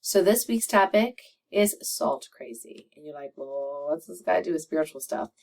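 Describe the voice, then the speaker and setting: A middle-aged woman with a slightly raspy voice, a doctor chatting to camera, laughing often and using many fillers. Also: low voice, animated, normal speed, darkish tone, far from the mic. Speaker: medical doctor and video host. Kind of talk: casual monologue to camera.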